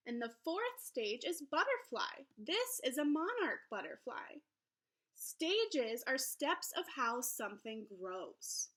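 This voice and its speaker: A woman teacher reading aloud to young children in an encouraging, expressive storybook manner. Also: sits high, slow pace. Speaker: elementary school teacher. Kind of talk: children's read-aloud lesson.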